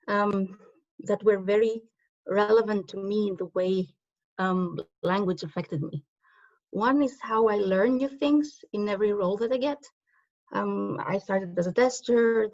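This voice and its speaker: A woman's voice: medium pitch, darkish tone, no room sound. Greek-born woman, native Greek speaker, conference presenter; loose, um-filled delivery, dry and slightly muffled recording. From Greece. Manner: conversational, frequent ums and self-corrections. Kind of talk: conference talk with slides.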